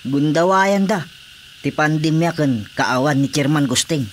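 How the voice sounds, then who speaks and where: A man's voice: fairly high pitch, a little slow, slight background noise, natural voice. A man playing an eager, sly village informant, a deferential comic underling in a radio drama. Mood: eager, conspiring. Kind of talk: comedic radio drama acting.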